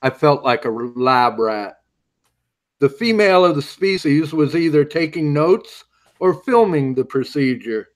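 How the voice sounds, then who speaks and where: A man playing a wry pulp hero who tells his story in a dramatic, hardboiled way, in an audio drama. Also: a little high. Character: wry male masked hero recalling his alien abduction.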